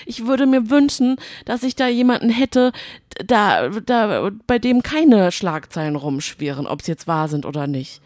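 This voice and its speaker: A woman's voice: medium pitch, expressive, measured pace, a little mellow, dry recording, studio quality. A woman co-hosting a casual podcast, chatty and teasing in manner. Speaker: podcast co-host.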